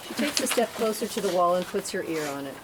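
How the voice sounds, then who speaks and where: A woman's voice: fairly low pitch, expressive, quick pace. A woman reading stage directions as narrator at a script table read, in a matter-of-fact way. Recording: clearly noisy room recording.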